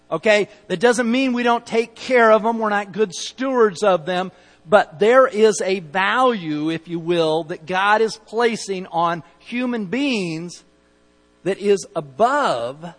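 A middle-aged man preaches with a light Texas accent and a fairly high voice. He leans hard on key words, stumbles into repeats and drops folksy asides. His voice rings out with the long reverb of a large sanctuary. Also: fairly bright tone. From Utah. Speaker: pastor. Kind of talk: sermon.

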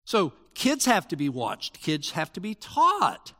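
A man preaching a sermon as pastor, explaining scripture in a conversational, animated way with frequent restarts. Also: high-pitched, slow, long reverb tail.

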